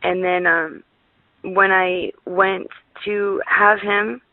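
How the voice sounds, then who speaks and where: A woman's voice: medium pitch, fairly even intonation, very slow, dry recording. A young woman talking earnestly in a phone conversation, over a slightly muffled line. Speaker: prank call recipient.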